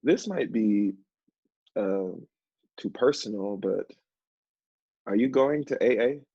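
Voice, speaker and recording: A man acting as an earnest, kindly office assistant in a stage play. His voice is husky and a little breathy, with gently hesitant delivery. It is a dry but slightly dull recording. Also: lowish voice, slow, dry recording, heavily acted. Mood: gentle, awkward. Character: Sam, earnest, kindly sober male literary assistant.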